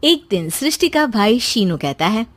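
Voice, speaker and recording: A woman's voice: on the high side, big pitch swings, moderate pace. A woman narrating a scripted moral story.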